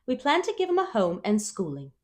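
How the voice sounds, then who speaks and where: A woman voicing a calm, matter-of-fact farm woman in a storybook, composed and plain-spoken. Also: on the high side, fairly quick, voice pushed up. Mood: calm, firm.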